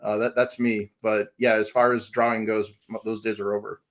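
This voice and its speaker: A man's voice: lowish voice, little pitch movement, moderate pace. A man from New England telling rambling stories in a casual panel chat, laughing often as a comic creator guest.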